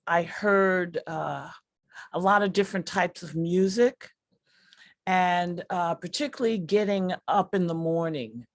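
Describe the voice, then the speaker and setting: A woman speaking reflectively on a panel, unscripted, pausing between short phrases as she thinks. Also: fairly low pitch, no echo, studio quality. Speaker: workshop artist on a panel.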